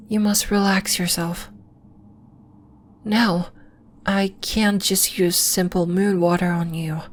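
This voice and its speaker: Woman playing a slow-spoken gentle moon healer. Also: medium pitch, slight background noise, lightly acted. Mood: calm, solemn. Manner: measured, formal, reassuring. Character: gentle, earnest female alien moon-temple healer. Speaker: ASMR roleplay voice actor. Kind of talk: slow, soft ASMR roleplay acting.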